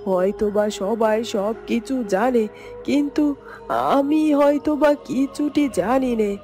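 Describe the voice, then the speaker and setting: A woman's voice: fairly high pitch, unhurried, dark tone, soft background music, natural voice. A woman voicing a protective mother turned into a bird in a fairytale cartoon, fretting and wondering aloud. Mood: worried, puzzled. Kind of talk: Bengali fairytale cartoon voice acting.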